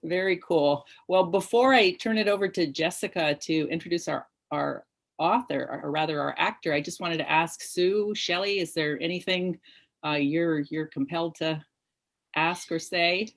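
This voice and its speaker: A woman with a notably low voice hosts a casual video-call interview. She speaks informally and is openly appreciative of her guest. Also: moderate pace. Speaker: event host.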